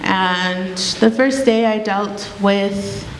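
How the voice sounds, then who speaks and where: A woman's voice: mid-range voice, drawn-out words. A woman from Guatemala, a native Kaqchikel speaker, addresses a community gathering as a street medic. She speaks earnestly, with a mid-range voice. The room is echoey, with a little noise in the background. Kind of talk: speech to a gathering. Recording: echoey hall with faint noise.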